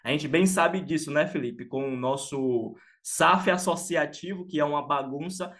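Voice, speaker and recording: A man's voice: a little high, moderate pace, fairly bright tone. A man from Salvador, co-hosting a casual sports podcast and talking with many fillers and restarts. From Salvador, Bahia, Brazil. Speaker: podcast co-host.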